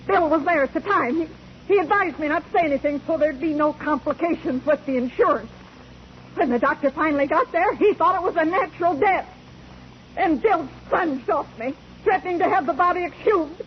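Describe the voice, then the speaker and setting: Actress as very high-voiced, cornered boarding house widow. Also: female voice, brisk, close to an everyday voice. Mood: bitter confession. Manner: defensive, overwrought. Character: cornered, defiant widowed female boarding house keeper with guilty secret. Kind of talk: 1940s radio melodrama acting. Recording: dull, hissy 1949 radio recording.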